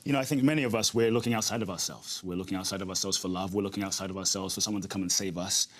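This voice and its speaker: Man, quick delivery, earnest, reflective, repeats phrases. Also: low voice, steady tone. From grew up in London. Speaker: guest, inspirational speaker. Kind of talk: interview conversation.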